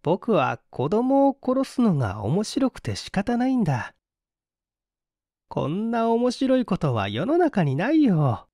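A man's voice: sits high, wildly swooping pitch, slow, mellow, no room sound, clean studio sound, pitched above the performer's own voice, heavily acted. A man voicing a cruel fifteen-year-old boy killer in an audiobook story, boastful, mocking and unrepentant. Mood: gleeful boasting.

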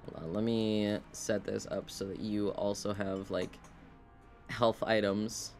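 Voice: low voice, gravelly. A video game streamer giving casual live commentary, rambling and wryly talking to themself and the game. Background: video game sounds.

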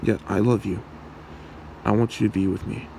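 A man acting a shy, lovestruck young man with a hidden secret in an ASMR roleplay, speaking haltingly and awkwardly in a low, gravelly voice. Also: steady tone, mellow, slight background noise, rough recording. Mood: earnest, tender.

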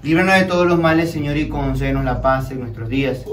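Echoey young priest reciting Mass prayers. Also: male voice, mid-range voice, crowd noise. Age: young adult. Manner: solemn, measured, declamatory. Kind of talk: liturgical prayer, solemn recitation. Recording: echoey room, distant mic, background noise.

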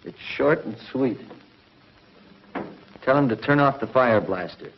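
A man's voice: mid-range voice, animated, a little slow, creaky, slight background noise. Terse space crewman, mid-range voice, muffled old 50s tv recording. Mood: firm, satisfied. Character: resolute male comrade of a space hero. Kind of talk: 1950s television sci-fi serial acting. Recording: dull 1950s TV soundtrack.

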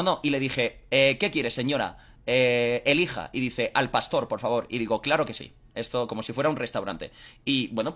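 A young man tells an animated, conversational story straight to the camera. His voice is of middle pitch for a man. He sits indoors wearing a headset. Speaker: YouTuber. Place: indoors in a room.

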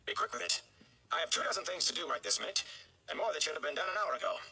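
A man's voice: on the high side, sing-song, very fast, creaky, bright, forceful tone, voice pushed up, heavily acted, poor audio. A man voicing a flustered, brusque, commanding middle-aged village mayor in a storybook, barking urgently. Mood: impatient.

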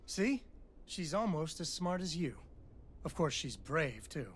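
A man's voice: on the high side, big pitch swings, takes it slowly, slight background noise. A wry, self-doubting father. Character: remorseful, self-doubting father, adult man. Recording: game audio with faint ambience.

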